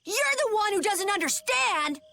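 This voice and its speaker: A woman voicing an eager, loyal young boy in a video game, speaking with high, emotional earnestness. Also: lively intonation, measured pace, creaky, bright, forceful tone, full character voice. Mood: angry.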